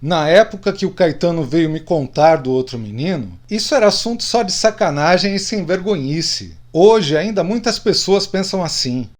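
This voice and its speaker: A man acts out a middle-aged Brazilian friend, a little drunk and thoughtful. His voice is slightly higher than most men's. He speaks plainly and confidingly, in radio drama style. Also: expressive, moderate pace, hardly any pauses, natural voice. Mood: regretful.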